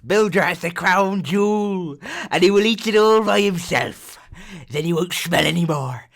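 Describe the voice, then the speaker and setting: A man's voice: high voice, a little slow, gravelly, fairly bright tone, exaggerated voice. A man voicing a small, grubby blue swamp creature in an audiobook, gloating and greedy, crowing theatrically about himself in the third person with a phlegmy voice.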